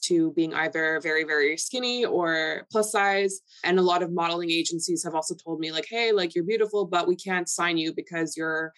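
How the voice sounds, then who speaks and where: Brief: young Canadian woman, Polish first language, lowish voice, rambling candid guest. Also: moderate pace, few pauses, fairly bright tone. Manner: chatty, filler-heavy, candid. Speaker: guest influencer. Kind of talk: casual podcast interview.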